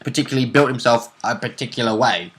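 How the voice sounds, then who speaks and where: A man's voice: mid-range voice, fast, a bit bright, rough recording. A man co-hosting a tabletop role-play show, chatting casually and commenting on the game.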